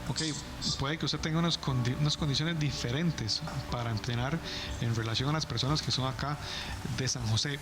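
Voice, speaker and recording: A Costa Rican man hosting a TV talk show, interviewing guests in a fast, conversational way that corrects itself as he goes. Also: medium pitch, music in the background. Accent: Costa Rican Spanish. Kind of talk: studio interview.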